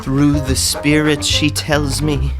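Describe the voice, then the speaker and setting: Pompous slow island oracle, very low voice, theatrical audio comedy. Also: female voice, level tone, over loud music. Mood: bluffing. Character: pompous, entitled island mystic, a fraudulent grandiose oracle. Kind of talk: comic audio drama acting.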